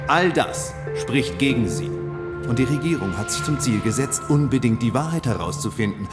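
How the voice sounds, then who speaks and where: An actor playing a skeptical, exasperated defence lawyer, formal and insistent, in a German radio drama. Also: male voice, mid-range voice, lively intonation, moderate pace, loud music behind the voice, close to an everyday voice.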